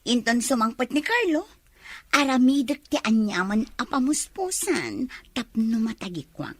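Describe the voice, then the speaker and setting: Smug, scheming young rival, wildly expressive. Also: female voice, on the high side, a little slow, no room sound. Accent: Filipino. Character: vain, scheming young woman, jealous romantic rival. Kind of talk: radio drama acting.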